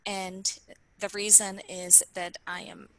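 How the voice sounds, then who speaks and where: A Swiss woman in her forties, a native German speaker, talks through a webinar with a bright, clear tone. She is chatty and friendly, and her sentences wander with plenty of ums along the way. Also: mid-range voice, level tone, takes it slowly, rough recording. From Switzerland. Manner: chatty, friendly, many fillers. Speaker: PR consultant guest. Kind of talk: webinar interview.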